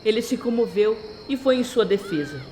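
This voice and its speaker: A woman's voice: a little high, lively intonation, moderate pace, mellow, a little hiss or hum. A woman narrator reading a scripted story in a dramatic storytelling style.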